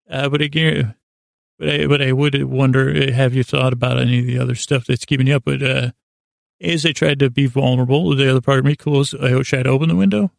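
Very gravelly, rambling male podcast host. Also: medium pitch, no echo, clean studio sound. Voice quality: gravelly, creaky voice. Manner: meandering, halting, often trailing off and circling back. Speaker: host of a bedtime sleep podcast. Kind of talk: rambling, unscripted bedtime monologue.

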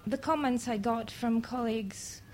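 A native Greek woman with a Greek accent, asking an earnest, polite, halting question from the audience at a public event. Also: fairly high pitch, a little mellow. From Greece. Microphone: handheld audience microphone. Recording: faint background noise, live event.

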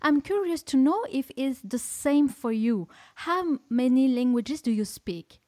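French-accented woman, slightly high, dry sound. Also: lively intonation, a little slow, mellow, no room sound. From France. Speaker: podcast host. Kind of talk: casual interview conversation.

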